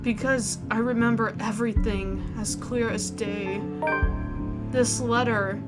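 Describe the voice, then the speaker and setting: A woman's voice: on the high side, fairly even intonation, unhurried. A woman gaming host expressively reading a visual novel's first-person narration aloud and reacting casually. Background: music.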